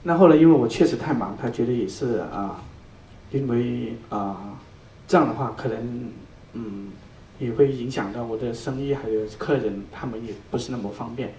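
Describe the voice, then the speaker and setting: A middle-aged man gives an earnest, thankful testimony, pausing between short phrases. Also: mid-range voice, mellow, slight background noise. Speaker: church member giving a testimony. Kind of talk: personal testimony. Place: large church hall indoors. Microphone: handheld mic, picked up from a distance. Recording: echoey hall recorded from far off.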